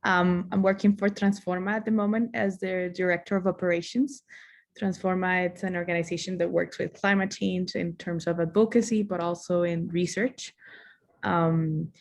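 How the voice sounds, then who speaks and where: A woman from Costa Rica introduces herself on a video call. Her voice sits low and flat in pitch, and she speaks hesitantly, often repeating words as she finds them. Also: moderate pace. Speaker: workshop participant.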